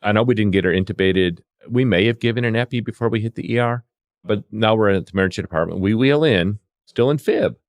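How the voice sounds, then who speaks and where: A man's voice: lowish voice, fast talker, no room sound, studio quality. A man working as a paramedic and instructor, telling anecdotes conversationally and acting out the dialogue. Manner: acts out exchanges with quoted dialogue. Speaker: paramedic and CPR instructor telling field stories. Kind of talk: animated anecdotal storytelling in conversation.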